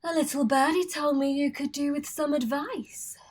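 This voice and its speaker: A woman's voice: high-pitched, fairly even intonation, normal speed, natural voice. A woman playing a vain, melodramatic, flirtatious glamour queen with an affected, theatrical manner in a radio play. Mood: sugary, condescending. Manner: theatrical, affected, gasping and shrieking.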